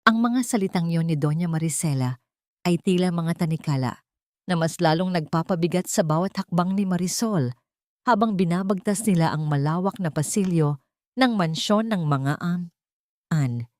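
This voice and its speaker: A woman's voice: fairly low pitch, normal speed, a little mellow, no echo, clean studio sound. A woman narrating a scripted, dramatic story.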